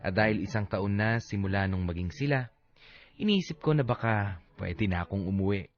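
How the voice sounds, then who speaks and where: A man's voice: fairly low pitch, moderate pace, dull audio, natural voice. An actor playing a heartbroken man who recounts his life story in dramatic radio narration. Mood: wistful.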